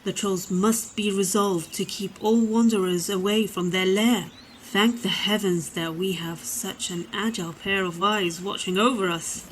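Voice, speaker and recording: A woman playing a calm, courteous fantasy cleric with formal, measured speech in a comic audio drama. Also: medium pitch, a little hiss or hum, natural voice, rough recording. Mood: grateful, formal.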